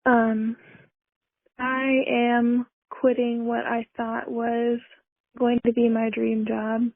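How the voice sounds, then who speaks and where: A woman's voice: a little high, completely flat delivery, takes it slowly, dry recording. A woman caller leaving a casual, confessional voicemail over the phone, hesitant and reflective. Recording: dry, narrow-band phone voicemail.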